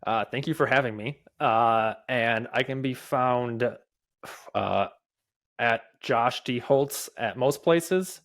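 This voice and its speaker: A young adult man who stutters, speaking as a podcast guest in casual talk, with frequent fillers, pauses and restarts. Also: medium pitch, a bit bright.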